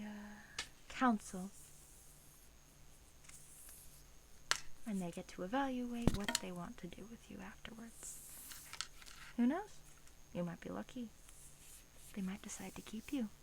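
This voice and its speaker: A woman acts out an ancient female vampire in an intimate ASMR roleplay. She speaks slowly and softly, drifting into whispers as she muses. She is smug and predatory, yet doting. Faint noise sits behind the voice. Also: medium pitch, natural voice, poor audio. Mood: calm, sinister. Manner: slow, hushed, slipping into whispers, musing. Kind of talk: soft-spoken ASMR roleplay.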